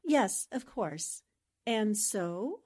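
A woman playing a confident, sharp-witted newspaperwoman in 1940s radio drama style, brisk and wry in manner. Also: medium pitch, animated. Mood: inquisitive.

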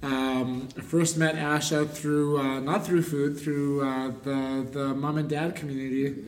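A man co-hosting a podcast, chatting casually in halting, hesitant phrases. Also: a little high, level tone. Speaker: podcast host. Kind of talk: casual chat. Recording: roomy, distant mic, background noise.

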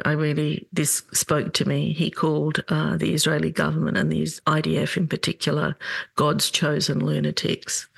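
A middle-aged woman hosting a solo commentary podcast, talking in an earnest, indignant way with halting restarts. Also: low-pitched, creaky, dry recording. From Australia. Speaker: podcast host.

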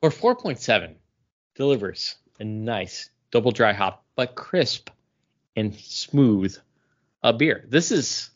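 A man co-hosting a podcast, chatting casually in an animated, rambling way. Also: lowish voice. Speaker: podcast co-host. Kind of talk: casual pop culture and beer chat. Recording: dull, lo-fi podcast audio.

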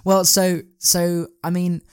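A man's voice: high-pitched, completely flat delivery, slow pace, smooth, no echo, clean studio sound. A man hosting a podcast, chatting casually and explaining things in a rambling, enthusiastic way. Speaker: podcast host. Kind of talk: casual podcast chat about plays.

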